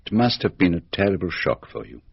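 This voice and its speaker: Sharp courteous detective, low husky voice, formal questioning, radio drama. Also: male voice, very animated, brisk, no room sound, highs cut off, heavily acted. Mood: probing, measured. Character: eccentric, sharp, courteous foreign male private detective.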